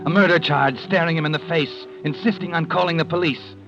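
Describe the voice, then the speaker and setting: An actor playing a sardonic, ominous narrator who tells an old-time radio mystery in the second person. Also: male voice, fairly high pitch, brisk, vocal fry. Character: sardonic male narrator, cool, ominous voice of conscience. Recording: muffled old radio recording, music behind.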